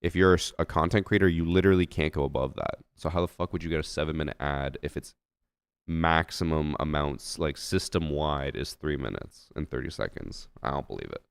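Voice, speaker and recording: A man's voice: extremely low pitch, brisk, creaky, smooth, no echo, studio quality. A young man streaming a shooter game, chatting casually with his chat and thinking aloud with fillers, a little stuffy from a head cold.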